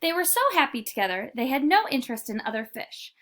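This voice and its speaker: High-voiced woman reading a children's story. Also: expressive, quick pace, fairly bright tone. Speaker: read-aloud storyteller. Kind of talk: children's picture book narration.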